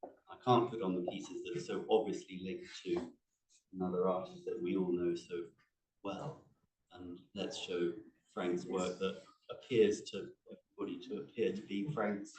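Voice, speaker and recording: A man in the audience asking a reflective question, speaking quietly. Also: low voice, level tone, normal speed. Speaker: audience member. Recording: distant, echoey room with faint noise.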